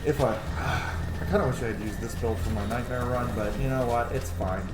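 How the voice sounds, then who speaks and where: A man's voice: mid-range voice, normal speed, noisy background. A man in his thirties giving casual commentary while playing a video game. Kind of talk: casual let's-play commentary. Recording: roomy sound under loud game audio. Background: loud game music and combat sounds.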